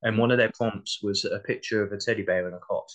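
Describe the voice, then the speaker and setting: A man's voice: on the low side, steady tone, quick pace. A man speaking as an interview guest in a casual, modest and reflective way. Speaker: interview guest, playwright and actor.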